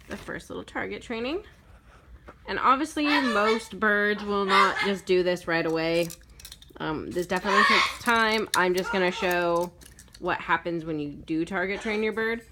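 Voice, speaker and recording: A woman's voice: on the low side, bright, forceful tone, a little hiss or hum. A woman with a cold and a stuffed-up voice, casually explaining parrot training in a rambling way with many ums and self-corrections. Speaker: parrot owner giving a training demo. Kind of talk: casual how-to demonstration.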